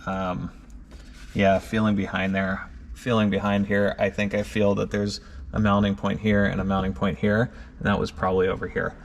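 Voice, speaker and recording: A man's voice: lowish voice, monotone, moderate pace, vocal fry, lo-fi sound, slight background noise. A man narrating a hands-on vlog in an informal way, thinking aloud as he examines things. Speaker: car owner and vlogger.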